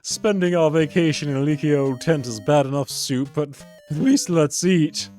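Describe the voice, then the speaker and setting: A man's voice: on the high side, normal speed, a little mellow, faint music behind, close to an everyday voice. A man voicing an eager, bossy cartoon sidekick with an emphatic, insistent delivery in a story reading. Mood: complaining.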